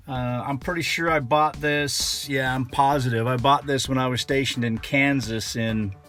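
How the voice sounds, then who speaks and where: A middle-aged American man narrating a hands-on vlog in a casual, unhurried way, thinking aloud as he goes. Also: a little high, fairly bright tone. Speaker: vlogger showing a vehicle radio installation.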